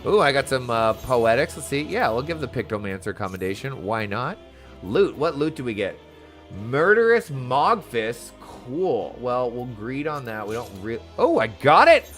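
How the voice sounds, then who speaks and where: An excitable, enthusiastic man giving casual, exclamatory live commentary as he plays an online role-playing game. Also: medium pitch, normal speed, soft background music. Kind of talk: live gameplay commentary, casual chat to viewers.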